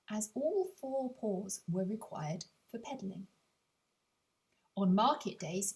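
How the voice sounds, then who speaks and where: A woman reads a children's story aloud in a fairly high voice. Her intonation rises and falls in a lively way. She phrases with care and leaves deliberate pauses, as an expressive storyteller. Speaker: author reading their own audiobook. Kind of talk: scripted children's story narration.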